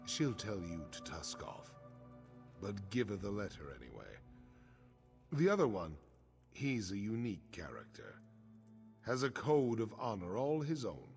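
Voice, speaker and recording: Low-voiced, solemn orc warrior chief. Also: male voice, huge pitch swings, unhurried, creaky, long pauses, a little mellow, heavily acted. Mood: matter-of-fact. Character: grave, honorable male orc warrior chief, middle-aged. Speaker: quest-giving game character. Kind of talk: scripted video game dialogue. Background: game music.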